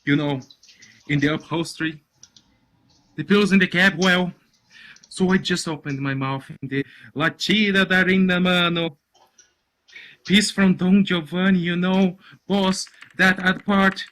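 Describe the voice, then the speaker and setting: A man voicing an excitable, panicky opera-loving worker in a story, gasping and babbling melodramatically. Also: sits high, measured pace, long pauses, voice pushed up, poor audio. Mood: excited, babbling.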